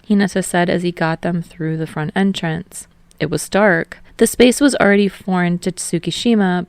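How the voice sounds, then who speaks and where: Fiction narrator: woman, lowish pitch, gravelly fry, scripted storytelling, dry close sound. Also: darkish tone, no room sound. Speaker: podfic narrator.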